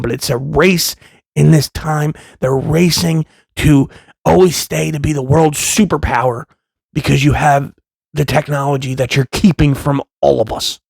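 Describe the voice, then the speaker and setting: A man in his thirties talking in a casual, rambling monologue, profane and impassioned, with many restarts and a loud voice. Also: on the high side, creaky, slightly rough, no echo. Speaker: vlogger, father.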